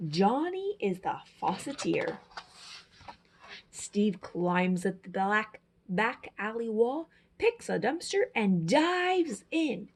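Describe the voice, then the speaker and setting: Expressive, slightly husky woman reading a storybook. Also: mid-range voice, slow. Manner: dramatic, emphatic phrasing. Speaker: storyteller, teacher. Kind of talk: expressive children's picture book read-aloud.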